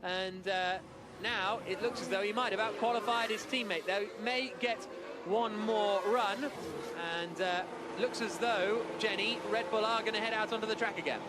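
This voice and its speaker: A man giving brisk, excited live motor racing commentary on radio. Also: high-pitched, very noisy.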